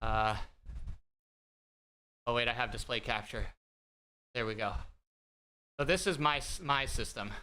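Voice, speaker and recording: Bright-voiced, rambling male streamer. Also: measured pace, pauses often, smooth. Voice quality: bright, higher-pitched voice. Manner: rambling and self-deprecating, with frequent fillers and laughs. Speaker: livestream host. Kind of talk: casual chat with live viewers. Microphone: desk streaming mic through an audio mixer.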